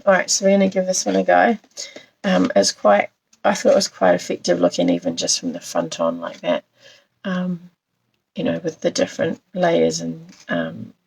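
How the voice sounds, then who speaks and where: A New woman with a croaky voice from a cold, chatting as she demonstrates a papercraft card on a live stream and greets viewers by name. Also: lowish voice, slight background noise, rough recording. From New Zealand.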